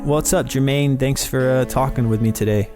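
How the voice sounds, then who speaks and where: A man's voice: mid-range voice, steady tone, normal speed. A man from North Carolina hosting a podcast, friendly and upbeat, chatting casually with lots of fillers. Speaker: podcast host. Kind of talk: casual interview chat. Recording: dry close sound with faint music behind.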